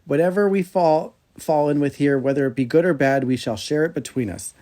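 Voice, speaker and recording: A man's voice: a little high, fairly quick, mellow, close to an everyday voice. A man voicing a fearless, wily older soldier in a storybook, bold and plain-spoken. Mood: unafraid.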